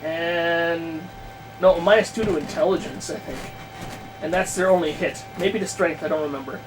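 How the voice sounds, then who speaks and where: A man chatting casually about his tabletop game plans, thinking aloud in a halting, self-correcting way with crude language. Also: a little high, music in the background. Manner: crude, freely swearing. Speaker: podcast guest host, game master. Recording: clearly noisy room recording.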